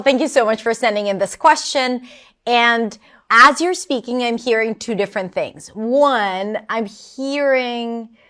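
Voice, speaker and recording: Woman, English pronunciation coach, encouraging and expressive, voice sits a bit high. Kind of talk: answering a learner's question.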